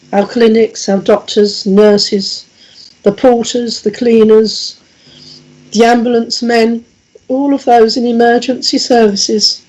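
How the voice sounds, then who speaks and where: A woman with a croaky, husky voice, reading prayers and leading a gentle healing meditation at a church service. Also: fairly high pitch, muffled recording. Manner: gentle, measured, with frequent small restarts. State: croaky, coughing voice.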